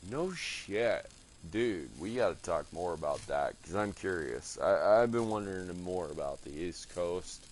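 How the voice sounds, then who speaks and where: Slow, low-voiced profane gaming streamer man. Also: lively intonation, slight background noise. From California. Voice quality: crude, rambling, swears a lot. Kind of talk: casual profane banter while gaming.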